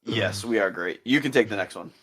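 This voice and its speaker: A man with a fairly low voice co-hosts a relaxed gaming podcast. He is easygoing and agreeable, and he walks through figures one step at a time in a steady, conversational way. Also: a little fast, slightly rough. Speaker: podcast co-host. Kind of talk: casual podcast chat about a game.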